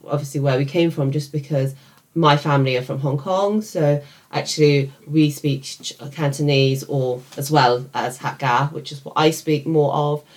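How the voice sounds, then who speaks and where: A woman's voice: deep voice, steady tone, moderate pace. A young woman from England with a British accent, talking casually as co-host of a conversational podcast. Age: young adult.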